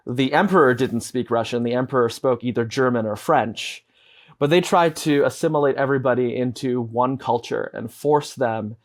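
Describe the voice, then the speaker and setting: Young american guy on a video call, earbuds mic, earnest and measured, explains stuff at length. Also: mid-range voice. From United States. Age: young adult. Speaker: guest, advocate. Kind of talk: explanatory interview talk. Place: indoor home room. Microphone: wired earbuds on a video call.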